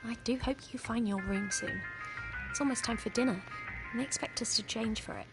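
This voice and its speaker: A woman performs a genteel mouse journalist for a cartoon game. Her voice is on the high side, polite and gushing in a very proper way. Loud music nearly covers her. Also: a little fast, lightly acted, rough recording. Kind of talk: cartoon video game voice acting.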